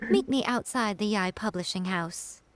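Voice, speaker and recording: A woman voicing a sly, commanding fox-spirit shrine maiden in a video game, measured, teasing and self-assured. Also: mid-range voice, a crowd in the background, close to an everyday voice. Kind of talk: video game character dialogue.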